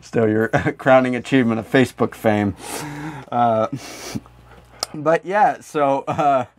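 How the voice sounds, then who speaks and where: A man's voice: mid-range voice, slow. A man co-hosting a podcast in casual comedic chat, rambling, joking and swearing freely. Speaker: podcast co-host. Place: small room in a house.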